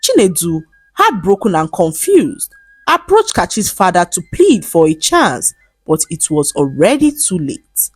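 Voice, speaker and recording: A woman storyteller reading a scripted folktale in a measured, dramatic storybook manner. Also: lowish voice, big pitch swings, breathy edge, no echo, soft background music.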